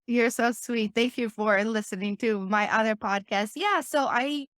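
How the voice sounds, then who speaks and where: A woman from Romania, a guest on a podcast, talking in an excitable, bubbly way and laughing often. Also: fairly high pitch, moderate pace, dry recording, studio quality. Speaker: podcast guest, business owner. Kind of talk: casual interview talk.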